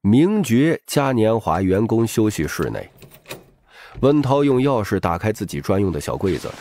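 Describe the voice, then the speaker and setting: Man narrating with lively pitch. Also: moderate pace. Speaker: audiobook narrator. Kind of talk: dramatic scripted narration of a crime novel. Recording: music bed behind the voice.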